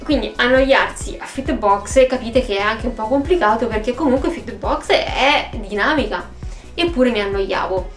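A woman's voice: fairly high pitch, normal speed, faint music behind. A woman in her thirties chatting casually and emphatically to camera as a vlogger, recorded in a room at home. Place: small room at home, indoors. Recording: roomy, echoey home recording.

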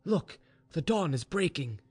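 Man as a high-voiced, shrewd young maidservant. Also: very animated, unhurried, darkish tone, breathy edge, heavily acted. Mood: practical. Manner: insistent, reasoning persuasion. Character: shrewd, urgent young Russian maidservant counselling her mistress. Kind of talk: storybook dialogue reading.

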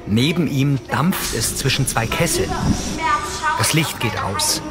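A man's voice: medium pitch, expressive, takes it slowly. A man reading scripted audio description, narrating scenes in the present tense. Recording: clear music behind the voice. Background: soundtrack music.

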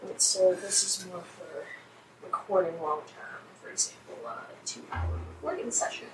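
A woman's voice: on the low side, fairly even intonation, moderate pace, over music. A woman chatting casually while playing a video game, rambling and frank with some mild swearing. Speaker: gamer recording a let's play. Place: indoor room at home beside a TV. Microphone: shared microphone set between two players. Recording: distant mic, echoey room, loud noise.

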